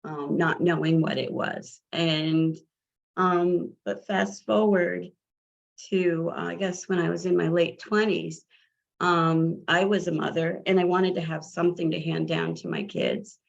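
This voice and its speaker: A middle-aged woman from New Jersey with a scratchy, tired voice, telling her own adoption story slowly, with many fillers and restarts. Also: fairly low pitch, gravelly. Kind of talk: personal account. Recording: dull lo-fi audio.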